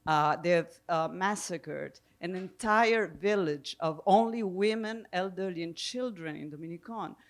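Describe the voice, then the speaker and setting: A woman speaking spontaneously on a history panel, measured and precise as she searches for her words. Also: lowish voice. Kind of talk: spontaneous explanatory panel talk. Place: live public event with audience questions.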